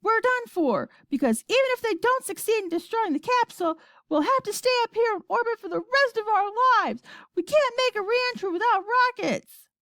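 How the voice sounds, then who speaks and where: A woman voicing a panicking male astronaut in a children's story, with frantic, desperate shouting. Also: very high voice, lively intonation, moderate pace, no echo, voice pushed up, heavily acted. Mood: despairing.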